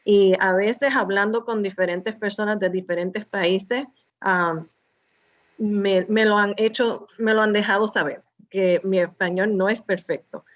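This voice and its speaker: A woman's voice: mid-range voice, steady tone. A woman from New York with a Puerto Rican accent, a podcast guest, speaking reflectively and mixing in English words. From New York, United States. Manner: unhurried, reflective, mixes in English. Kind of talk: personal conversation.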